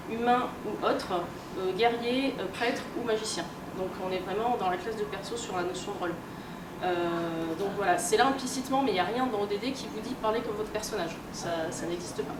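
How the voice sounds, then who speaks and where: A woman lectures in a roomy, echoing space, picked up a distance over clear background noise. Her delivery is colloquial and explanatory. She hesitates often and rephrases as she goes. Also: mid-range voice, brisk. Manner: hesitant, colloquial, self-correcting. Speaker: conference speaker, researcher and editor. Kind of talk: informal explanatory lecture with examples. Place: live talk in a room at a convention. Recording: roomy, distant, noisy live conference recording.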